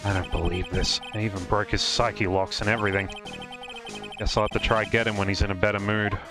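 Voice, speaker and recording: A man's voice: lowish voice, level tone, natural voice. Man playing an earnest young lawyer, music behind. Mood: frustrated. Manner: deliberate accusations, flustered hesitant asides. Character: earnest young male lawyer cracking a witness. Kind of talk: live fan dub of a visual novel game.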